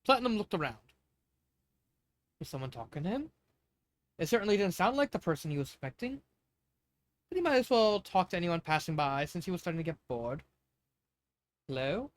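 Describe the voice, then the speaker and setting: A man reads stories aloud in a casual, unpolished style. His voice sits at an ordinary pitch, and he speaks informally, halting and correcting his own slips as he moves along. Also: big pitch swings. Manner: informal, halting, stumbles over words and corrects himself. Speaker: fanfiction reader, channel host. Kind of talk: casual read-aloud of fanfiction with off-script asides.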